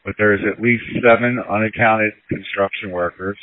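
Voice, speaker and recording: Low male voice, deliberate delivery, emergency rescue report, thin narrowband two-way radio. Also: fairly even intonation. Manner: deliberate, repeats key warnings. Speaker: fire rescue responder on radio. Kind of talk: emergency radio dispatch traffic.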